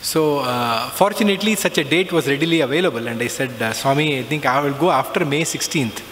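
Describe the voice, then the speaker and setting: A middle-aged man tells a reflective personal story, often pausing with "uh" and "so". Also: mid-range voice, a bit bright. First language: not Hindi. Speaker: alumnus giving a talk. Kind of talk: personal spiritual talk. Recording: long reverb, faint background noise.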